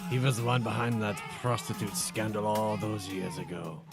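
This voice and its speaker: A man's voice: fairly low pitch, flat delivery, normal speed, creaky, over loud music. A man playing a proud, sinister mad scientist in an audio drama, speaking with smug theatricality. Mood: smug, menacing. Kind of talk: audio drama villain acting.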